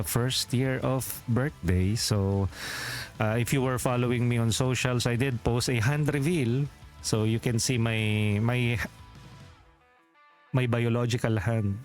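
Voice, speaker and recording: A man with a Filipino accent chatting casually as a game streamer, rambling along with lots of "uh" fillers and little chuckles. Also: mid-range voice. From Philippines. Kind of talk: casual live-stream chat.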